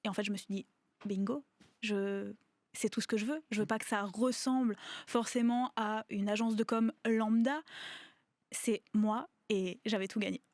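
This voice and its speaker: A woman hosting a casual panel discussion, bubbly and quick, laughing often between points. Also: mid-range voice, steady tone, darkish tone, dry recording. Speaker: podcast host, entrepreneur.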